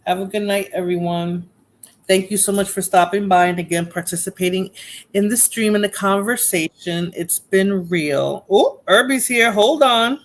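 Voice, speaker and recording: A woman's voice: medium pitch, normal speed. A woman with a Bronx accent hosting a casual livestream chat, laughing often and repeating herself. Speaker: livestream host.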